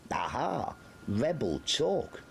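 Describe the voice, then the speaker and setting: A man's voice: medium pitch, big pitch swings, slow, a crowd in the background, voice pushed up, heavily acted. A man voicing a sly, bullying sergeant who threatens in a mock-friendly way in an audiobook. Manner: smooth, menacing, mock-friendly. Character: menacing, grinning male sergeant, a sly bully. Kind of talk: audiobook character voice.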